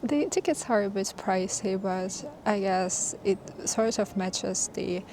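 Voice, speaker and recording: A woman's voice: medium pitch, slow pace, dark tone, slight background noise, rough recording. A woman vlogger giving travel narration and casual commentary on a sightseeing visit.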